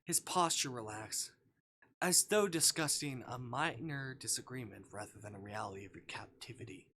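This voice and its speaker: A man's voice: mid-range voice, moderate pace, pushed and bright, a little hiss or hum. A man livestreamer reading a visual novel aloud, mixing narration with casual commentary.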